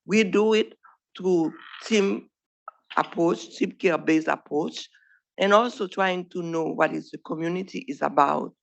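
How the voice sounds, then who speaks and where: A woman's voice: fairly low pitch, unhurried, vocal fry, poor audio. A woman from Haiti with a Haitian accent, a primary care doctor giving an earnest presentation to trainees. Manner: earnest, plain speech with small grammar slips. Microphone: podium microphone.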